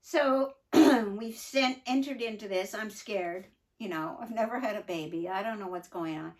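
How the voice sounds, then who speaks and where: Older woman reading her own memoir. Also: medium pitch. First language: English. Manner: earnest, reflective, pauses for emphasis. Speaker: memoir narrator. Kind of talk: reading her own story aloud with asides.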